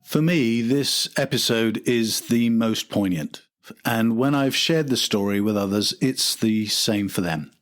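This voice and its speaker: A man's voice: mid-range voice, a little slow. An older man reading a scripted documentary narration as the host and storyteller.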